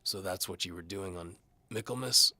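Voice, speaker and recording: A man's voice: low-pitched, moderate pace, pushed and bright, dry recording, full character voice. A man voicing a world-weary, wisecracking knight for hire in an audio drama, with a sardonic, hard-boiled manner. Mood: probing, gritty. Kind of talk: audio drama character acting.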